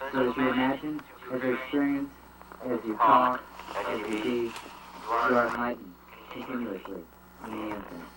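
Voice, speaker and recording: A man's voice: mid-range voice, natural voice, poor audio. A man playing a solemn mystic in an amateur audio drama, reciting repetitive declamations like an incantation. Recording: amateur recording with loud crowd behind.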